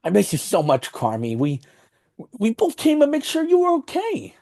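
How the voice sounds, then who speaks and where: A man playing a childlike, affectionate, needy best friend at a table read, earnest and halting. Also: high voice, huge pitch swings, vocal fry, darkish tone, no echo, clean studio sound, exaggerated voice.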